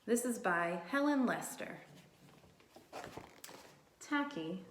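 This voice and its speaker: A woman doing a read-aloud storytime for young children in simple, encouraging language, animated and often asking questions. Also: medium pitch, slight background noise. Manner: animated, asks children questions and pauses for answers. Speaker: early childhood teacher reading aloud to children. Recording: roomy, echoey room sound.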